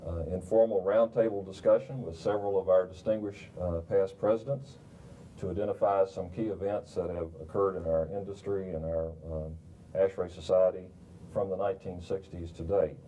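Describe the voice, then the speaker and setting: An older man moderating a roundtable in a formal, measured, somewhat halting way. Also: warm tone, slight background noise, poor audio. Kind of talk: formal, informally unscripted introduction. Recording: rumbly 1990s video recording.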